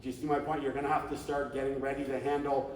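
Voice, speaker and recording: A man leading a coaching clinic, talking in an animated, conversational way and now and then shouting coaching calls. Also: medium pitch, quick pace, slight background noise. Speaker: flag football coach. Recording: echoey hall, distant mic.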